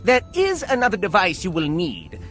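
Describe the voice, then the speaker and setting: A paranoid fussy creature engineer. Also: male voice, sits high, wildly swooping pitch, normal speed, fairly bright tone, soft background music, heavily acted. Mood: secretive. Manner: formal, old-fashioned, theatrical. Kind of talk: video game voice acting.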